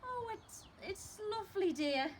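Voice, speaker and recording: A woman's voice: extremely high pitch, big pitch swings, unhurried, slight background noise, voice pushed up, full character voice. A woman voicing a weary, mild-mannered father elephant with a tired, hesitant, polite manner in a storybook.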